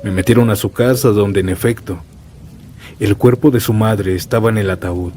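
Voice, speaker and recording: A man's voice: fairly low pitch, normal speed. A man narrating scripted first-person horror stories in a solemn, dramatic way, as a storyteller. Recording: steady rain and thunder noise bed. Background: rainstorm with thunder.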